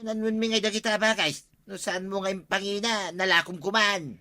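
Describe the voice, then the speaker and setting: A meddling village man with an Ilocano accent. His voice is piercingly bright and fairly high, emphatic in radio comedy style. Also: fairly even intonation, brisk. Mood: persuading. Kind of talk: radio comedy drama acting.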